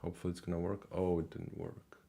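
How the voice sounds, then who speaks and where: Deep-voiced guy hosting a live call-in stream, relaxed, talks in restarts. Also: fast talker, vocal fry, slight background noise. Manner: relaxed, many fillers and repeated words. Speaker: host of a live language call-in show. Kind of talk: casual live-stream chat.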